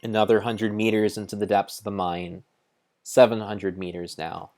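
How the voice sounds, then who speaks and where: A man's voice: lowish voice, little pitch movement, moderate pace, darkish tone. A man giving a solemn, theatrical mock-dramatic narration of a video game, as if reading a grand storybook. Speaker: streamer narrating his game play.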